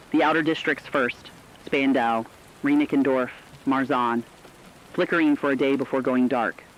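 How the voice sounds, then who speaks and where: A man playing a solemn commentator recounting a catastrophe in scripted radio narration, deliberate, literary and emphatic. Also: medium pitch, muffled recording, natural voice.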